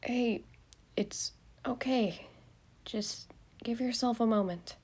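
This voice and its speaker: A woman voicing a tired young man who tries to soothe a panicking friend, then snaps back curtly, in a husky voice. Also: a little high, slow, darkish tone, pitched above the performer's own voice, full character voice. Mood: soothing.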